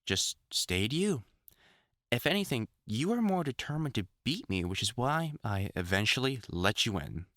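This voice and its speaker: A man playing an older, experienced vampire hunter in an intimate audio roleplay, talking to the listener in a halting, wry, earnest way. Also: medium pitch, pushed and bright, no room sound, studio quality. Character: gruff, caring older experienced male vampire hunter, mentoring.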